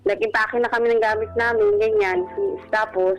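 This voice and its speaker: A woman's voice: a little high, big pitch swings, normal speed, vocal fry. A woman in her thirties telling a personal story conversationally and respectfully as a caller on a radio show. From Philippines. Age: 30s. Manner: respectful, frequent polite particles. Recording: radio broadcast with music bed. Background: music.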